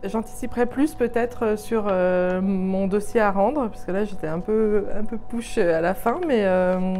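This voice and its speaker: A woman's voice: mid-range voice, normal speed, warm tone, slight background noise. A woman who took part in a training course, speaking in an upbeat, emphatic way in a testimonial interview. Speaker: course participant.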